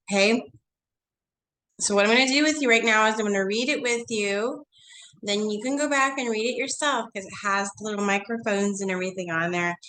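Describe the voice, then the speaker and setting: A woman's voice: medium pitch, fairly bright tone, poor audio. Teacher, female, congested, losing her voice; chatty online read-along with a faint hiss behind. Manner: chatty, coaxing, asks the class questions. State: nasal from a cold, losing her voice.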